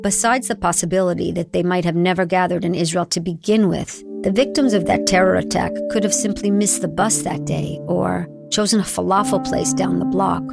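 Gravelly-voiced woman narrating a documentary. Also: mid-range voice. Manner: reflective, quiet. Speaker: journalist narrator. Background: music bed.

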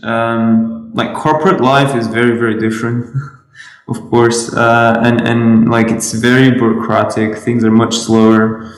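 A man from Portugal, speaking as a podcast guest in a halting way with many restarts. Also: medium pitch, roomy sound. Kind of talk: interview conversation.

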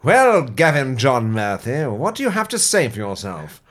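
A man's voice: mid-range voice, huge pitch swings, moderate pace, fairly bright tone. A man voicing an imperious, bullying male games critic with a haughty, scolding manner. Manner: haughty, scolding, swings into sudden emphasis.

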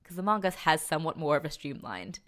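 A woman's voice: low-pitched, sing-song, fast. A young woman co-hosting a podcast, chatting casually about pop culture and rambling as she thinks aloud. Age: young adult. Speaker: podcast co-host.

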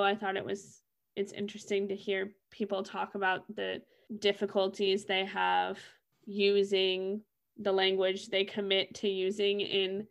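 A woman's voice: medium pitch, gravelly, smooth, muffled recording. A young adult woman talking casually and reflectively as a co-host in a discussion. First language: English.

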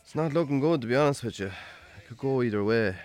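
A man's voice: medium pitch, expressive, fast talker, darkish tone, faint music behind, natural voice. A man playing a worn-down, lonely Irish farmer in a radio drama, wry, understated and conversational. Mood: worried, resigned. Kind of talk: naturalistic radio drama acting.

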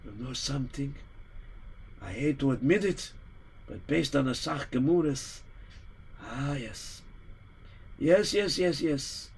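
A man's voice: mid-range voice, animated, slow pace, gravelly, pauses often, a little mellow, husky, exaggerated voice. A man voicing a wise old rabbi, earnest and emphatic. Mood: resolved.

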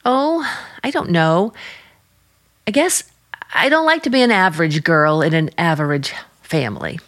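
A woman's voice: fairly low pitch, animated, moderate pace, fairly bright tone. An American actress playing an earnest, restless young small-town woman in a radio drama. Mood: wistful, admiring. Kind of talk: old-time radio drama acting.

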